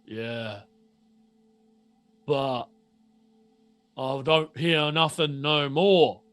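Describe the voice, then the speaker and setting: A man voicing a dim-witted mutant guard and would-be shopkeeper in a tabletop game, with slow, halting, muddled speech. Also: fairly high pitch, a bit bright, clean tone, dry recording. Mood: puzzled, muddled.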